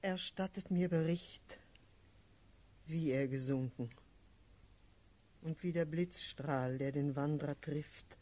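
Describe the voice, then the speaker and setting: An actress playing a mature, grief-stricken yet commanding noble wife, declaiming verse in a classical radio play. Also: female voice, low voice, warm tone.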